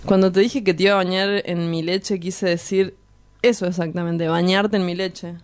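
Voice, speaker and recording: A woman's voice: lowish voice, little pitch movement, moderate pace, close to an everyday voice. A woman playing a crude, arrogant, lecherous macho lover in a radio drama, provocative and insistent. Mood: lustful, insistent.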